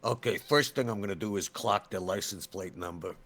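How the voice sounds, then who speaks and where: A man's voice: fairly low pitch, a little fast, fairly bright tone. A man talking casually as a player in a tabletop roleplaying game.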